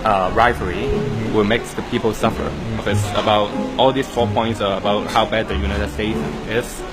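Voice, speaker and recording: A man being interviewed, explaining in a measured way with filler pauses. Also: fairly low pitch, steady tone, loud music behind the voice, low-quality recording.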